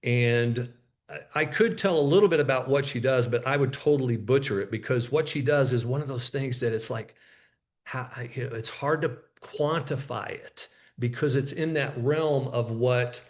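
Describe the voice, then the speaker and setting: A man hosts a webinar and introduces his guest from an event room. He talks at an ordinary pitch for a man, in a loose, hesitant way. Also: moderate pace. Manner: hesitant, loose, with fillers and restarts. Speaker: webinar host. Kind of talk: casual introduction.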